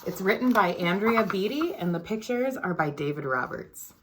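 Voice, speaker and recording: A woman, a school board member, reading a children's picture book aloud in an expressive, sing-song storytelling manner, sometimes dropping to a whisper. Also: mid-range voice, normal speed, noisy background.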